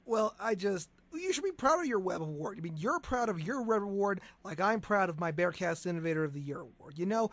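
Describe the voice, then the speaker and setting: Young male radio host, high-pitched voice, rambling and jokey interview chat, close dry studio sound. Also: lively intonation, a little fast, hardly any pauses, a bit bright, dry recording. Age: young adult. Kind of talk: chatty college radio interviewing.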